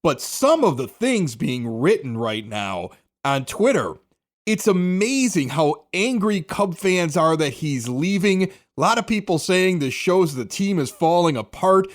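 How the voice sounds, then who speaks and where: A man's voice: fairly high pitch, sing-song, measured pace. An American man hosting a podcast, talking sports in a casual, chatty, conversational way. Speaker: podcast host, former morning radio host.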